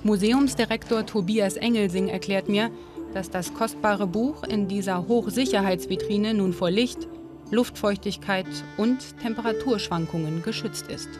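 A woman narrating a documentary and interviewing, measured and clear. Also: medium pitch. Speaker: documentary presenter. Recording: music bed under voice.

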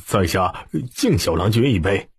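A man voicing a wealthy country gentleman in an audiobook, courteous, formal and unctuous, obsequious and smiling. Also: deep voice, lively intonation, a little slow, breathy edge, exaggerated voice. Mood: deferential. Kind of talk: audiobook character voice.

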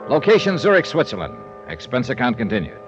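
An actor playing a wry, brisk American insurance investigator in clipped, hardboiled 1950s radio detective style. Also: male voice, medium pitch, huge pitch swings. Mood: crisp narration. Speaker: lead actor and narrator. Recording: dull 1950s radio recording with music.

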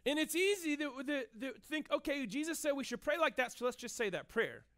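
High-voiced animated man preaching. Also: a little fast. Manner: animated, conversational preaching with frequent "right?" asides. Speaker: pastor. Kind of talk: sermon. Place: church stage with band gear.

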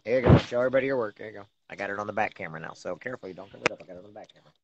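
A man's voice: fairly low pitch, racing. A man in his forties, a father chatting casually to viewers on his phone at home, laughing while his daughter plays barber. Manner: laughing, playful, talks to viewers. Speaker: vlogger, father. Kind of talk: casual home video chat. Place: dark room at home, indoors. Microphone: phone at arm's length. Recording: dull phone audio with faint noise.

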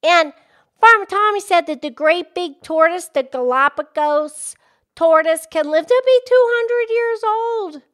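A woman voicing a cheerful, excitable young pig puppet with a childlike, folksy manner in a children's puppet show. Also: very high voice, unhurried, exaggerated voice. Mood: animated, earnest. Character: cheerful, chatty young pig puppet explaining animal facts.

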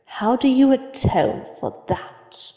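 A woman voicing a reproachful accuser, reading a message deliberately in a dramatic comic dub. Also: sits high, animated, dark tone, full character voice. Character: reproachful anonymous accuser scolding a child. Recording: echoey with long reverb.

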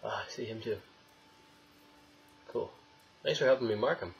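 A man's voice: on the low side, moderate pace, gravelly, highs cut off. Young male let's play host: casual, wry, profane, chatting to game characters, some background noise. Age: young adult. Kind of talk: casual gameplay commentary. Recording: noisy, game sound behind voice.

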